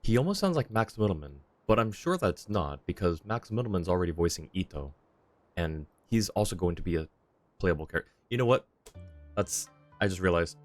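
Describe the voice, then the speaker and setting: Deep-voiced streamer chatting over gameplay. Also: male voice, very animated, brisk, soft background music. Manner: casual, chatty, reacting to the game. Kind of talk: live gameplay commentary.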